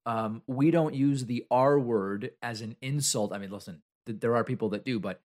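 A man's voice: mid-range voice, moderate pace, a little mellow. Man talking politics in a conversational tone. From Argentina. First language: Spanish. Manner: conversational, argumentative, argues with imagined objections. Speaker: political commentary host. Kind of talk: solo political commentary.

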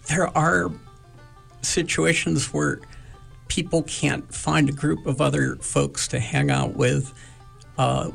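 Male host, gravelly, slow and searching delivery, light music bed. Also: mid-range voice. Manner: measured, halting with many "uh" pauses. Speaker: radio show host. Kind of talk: slow, thoughtful interview hosting.

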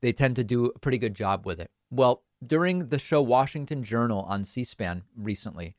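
A man hosting a political commentary show, speaking in a measured, conversational way. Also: medium pitch, expressive, darkish tone, dry recording, studio quality.

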